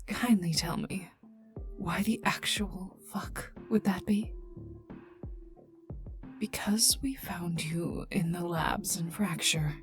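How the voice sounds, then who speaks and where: A woman playing a cold, sardonic, menacing female scientist in an ASMR roleplay, taunting the listener in breathy half-whispers. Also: mid-range voice. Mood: scornful, exasperated. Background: calm ambient music.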